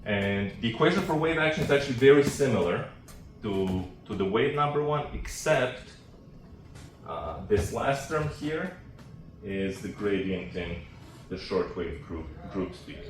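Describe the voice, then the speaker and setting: A man giving a technical seminar talk as a researcher, explaining informally and a little haltingly. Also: fairly low pitch, expressive, faint music behind. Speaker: academic researcher presenting. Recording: roomy, distant mic, faint background noise.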